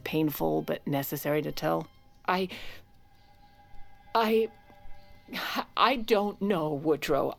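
An actress playing a protective, evasive First Lady, speaking in a halting, confessional way in an audio drama. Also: deep voice, vocal fry. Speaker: actor and narrator in an audio drama. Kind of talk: dramatic first-person narration and dialogue.

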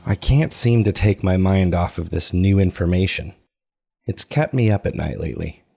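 A man playing a troubled podcast host and family man in an audio drama, confiding in a measured, reflective, gravelly voice. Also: sits low, mellow, heavily acted. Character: troubled podcast host, a married father searching for his parents. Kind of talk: confessional first-person audio drama narration.

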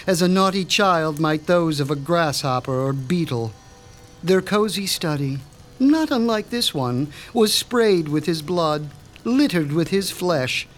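A menacing mad doctor. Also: male voice, fairly high pitch, slight background noise. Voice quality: measured, menacing, pompous. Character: man, a sinister mad scientist doctor seeking revenge. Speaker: Dr. Stein. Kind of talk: radio drama acting.

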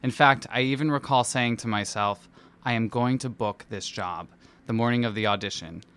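Clear-voiced man reading a personal essay. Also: medium pitch, moderate pace, a bit bright. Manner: theatrical, playful, self-deprecating. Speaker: musical theatre performer and dance captain. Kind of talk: personal essay read aloud.

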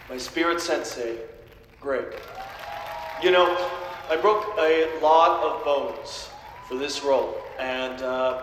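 An actor playing a vain, goofy movie star in an audio drama, rambling and showboating through a speech. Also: male voice, on the high side, a bit bright, slight background noise. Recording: very echoey large hall.

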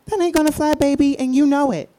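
An actor playing a sassy, blunt, defiant radio co-host with a snappy, sardonic, slangy way of talking in an audio drama. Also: male voice, very high-pitched, fairly even intonation, quick pace, mellow, a little hiss or hum, low-quality recording. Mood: scornful. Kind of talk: naturalistic audio drama acting.

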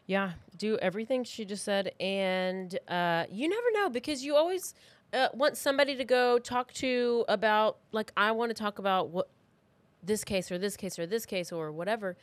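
A woman's voice: medium pitch, moderate pace, dry recording. A woman co-hosting a podcast, chatting in a rambling, joking, informal way. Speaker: podcast co-host and storyteller. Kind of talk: casual chat.

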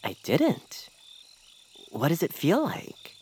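An actor playing a timid, supportive male bird, hesitant and gently earnest, in an audio drama. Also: fairly high pitch, wildly swooping pitch, slow, slight background noise, exaggerated voice. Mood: nervous.

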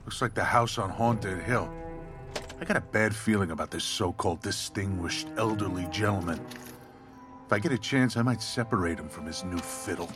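A man's voice: deep voice, moderate pace, music in the background, lightly acted. An actor playing a hot-headed, obsessive middle-aged father with an American accent in an audio drama. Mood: suspicious, grumbling.

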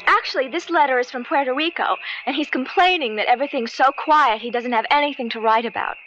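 An actress playing a cheerful, trusting grown daughter in a radio drama, with a sweetly expressive manner. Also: female voice, sits high, fast, soft background music. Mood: hopeful, content. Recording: dull, noisy old radio drama recording.

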